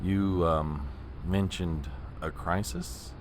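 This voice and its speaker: A man's voice: low voice, fairly even intonation, slow pace, slight background noise. A man playing a flustered, proper chief of staff in a comedy audio drama, formal and prone to nervous stammering. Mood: polite, wary.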